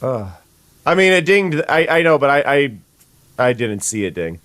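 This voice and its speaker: Brief: young sniffly streamer, mid-pitch man's voice, chatty with restarts, dry booth sound. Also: very animated, normal speed, a bit bright, no room sound. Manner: chatty, stumbling restarts, greets viewers. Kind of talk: casual gameplay chat.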